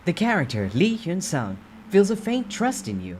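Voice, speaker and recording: Man voicing a raised-pitch game system announcer, noisy recording. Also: wildly swooping pitch, normal speed, a little mellow. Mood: formal announcing.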